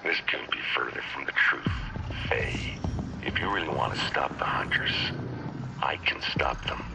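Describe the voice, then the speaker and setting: An actor playing a calculating rogue agent in a video game, coaxing and deliberate, with theatrical pauses. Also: male voice, noisy background. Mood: coaxing, hushed. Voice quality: gravelly, breathy low voice. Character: calculating rogue agent, smooth-talking male villain. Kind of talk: video game cutscene acting.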